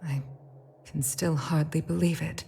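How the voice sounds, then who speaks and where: A woman playing a dignified, grieving middle-aged leader in a video game, speaking in a formal, measured and solemn way. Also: sits low, steady tone, mellow, slightly rough, exaggerated voice. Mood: grieving, resolute. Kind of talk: scripted video game voice acting. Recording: game music under the voice. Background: game music.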